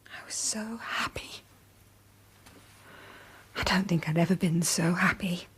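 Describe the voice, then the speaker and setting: An actress in a British radio drama playing a wronged young gentlewoman, hurt, proud and indignant, with a breathy, half-whispered British voice. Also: fairly low pitch, slow. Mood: heartbroken, bitter.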